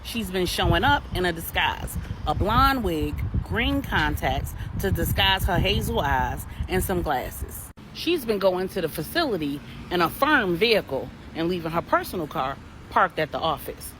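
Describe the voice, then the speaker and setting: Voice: medium pitch, big pitch swings, normal speed, few pauses, noisy background. A storyteller narrating a dramatic tale for adults in a vivid, colloquial way.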